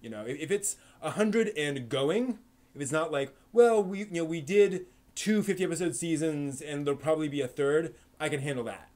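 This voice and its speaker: A man's voice: on the high side, normal speed, a little mellow. Rambling man hosting a live stream. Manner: rambling, thinking aloud with many ums and restarts. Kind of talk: casual live-stream chat answering chat questions.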